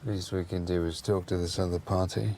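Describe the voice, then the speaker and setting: Weary, gravelly police detective. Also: male voice, low-pitched, a little fast, a little hiss or hum. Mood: dry, weary. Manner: dry, deadpan, unimpressed. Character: weary, dry senior male police detective. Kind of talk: film dialogue.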